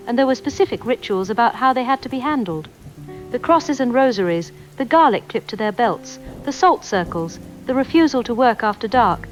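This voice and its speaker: An actress playing an uneasy, earnest radio phone-in caller, hesitantly recounting old family folklore on a dull line. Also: female voice, fairly high pitch, natural voice. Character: uneasy woman caller recalling grandmother's old-country warnings. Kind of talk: radio drama phone-in storytelling. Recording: dull band-limited phone-in sound.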